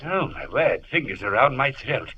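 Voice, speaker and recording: An actor playing a timid, flustered hotel clerk, meek and stammering in an old radio drama. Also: male voice, mid-range voice, big pitch swings, moderate pace, pushed and bright. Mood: nervous. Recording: dull, noisy old radio recording.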